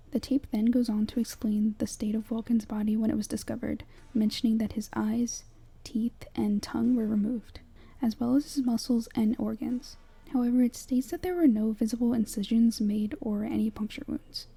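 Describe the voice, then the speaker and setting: Whispering woman narrator, soft and very dark. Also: on the high side, vocal fry, slightly rough. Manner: hushed, measured storytelling. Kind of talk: whispered ASMR-like horror video breakdown, scripted narration.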